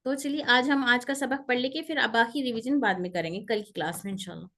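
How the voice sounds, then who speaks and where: A woman teaching a pronunciation class over a video call, explaining step by step and repeating terms and letters slowly. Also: on the high side, a bit bright. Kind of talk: online class lecture. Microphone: computer mic on a video call.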